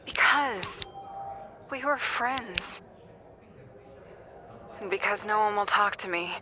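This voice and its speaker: A woman's voice: medium pitch, takes it slowly, a crowd in the background, natural voice. A woman playing a lonely doctor in an audio drama, speaking hesitantly and pleadingly. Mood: vulnerable, lonely. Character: lonely, pleading woman doctor asking old friend for help.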